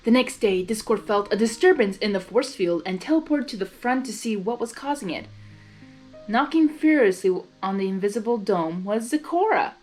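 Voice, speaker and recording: A woman's voice: mid-range voice, expressive, normal speed, darkish tone, soft background music, low-quality recording. A woman hosting and narrating a story reading expressively, with casual asides. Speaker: host and narrator of a blind reading.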